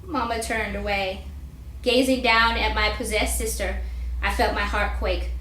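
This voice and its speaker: A woman reading a story aloud at a live indoor reading, narrating expressively and deliberately. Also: mid-range voice, steady tone, a bit bright. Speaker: author reading own fiction aloud. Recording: echoey room live reading.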